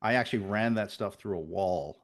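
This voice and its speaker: A man's voice: lowish voice, brisk. A man in his fifties, originally from the Netherlands, talking casually as a podcast guest, rambling with many restarts and self-deprecating asides. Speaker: podcast guest, network engineer. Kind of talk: casual interview chat.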